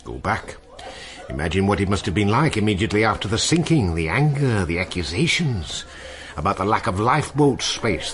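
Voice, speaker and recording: A man's voice: fairly low pitch, fairly bright tone. An actor playing a seasoned, wistful seaman in a radio drama, telling tales in a musing, colloquial way. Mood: hushed awe, intense. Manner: musing, colloquial storytelling with seafaring turns of phrase. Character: seasoned old seaman, wistful, salty storyteller.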